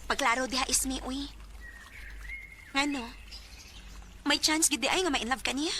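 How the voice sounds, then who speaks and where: A woman's voice: sits high, normal speed, close to an everyday voice. An actress playing a nosy, teasing young female friend in a Filipino radio drama, with a Bisaya accent. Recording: noisy radio drama with ambient effects.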